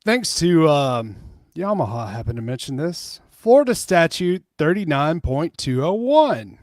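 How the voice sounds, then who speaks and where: A man commenting on a livestream, explaining and reading out notes in a measured, matter-of-fact way. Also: a little high, very animated, darkish tone, no echo, studio quality. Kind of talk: casual chat, reading out notes.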